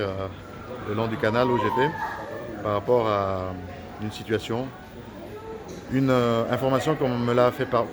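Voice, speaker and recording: A man whose first language is Lingala, speaking in a heated, preachy and accusatory rant with many hesitations and repeated phrases. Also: medium pitch.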